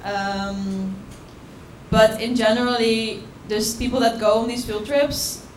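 A woman's voice: a little high, fairly even intonation, slow. A woman on a panel answering in a friendly, upbeat way, laughing between points. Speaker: panel speaker. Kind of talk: panel talk. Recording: echoey room with clear background noise.

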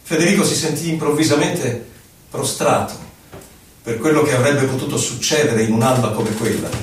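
A man gives a solemn, theatrical poetry recitation, declaiming in drawn-out phrases. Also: mid-range voice, slight background noise. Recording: very echoey, distant stage recording with music. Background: prominent violin music.

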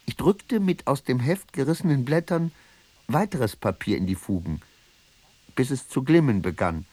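Radio drama: male actor as troubled diary narrator, mid-range voice, animated intonation, literary delivery. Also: medium pitch, normal speed, no echo, close to an everyday voice. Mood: uneasy, intense.

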